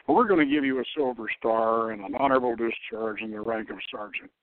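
A man's voice: quick pace, dry recording, close to an everyday voice. Man reading as fatherly, coercive general, phone-like sound. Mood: fatherly, persuasive. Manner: paternal, low-key, quietly threatening. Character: fatherly older male general, smoothly coercive. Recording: thin phone-like radio line.

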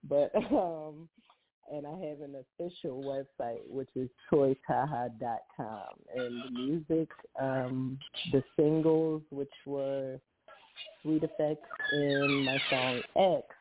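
Unhurried woman singer on a phone line. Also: extremely low pitch, slight background noise. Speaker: singer, guest. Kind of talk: casual interview.

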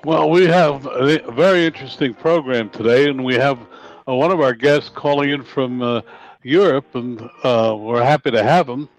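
A male radio host interviewing a guest, talking with many fillers and halting restarts. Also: medium pitch, soft background music, poor audio.

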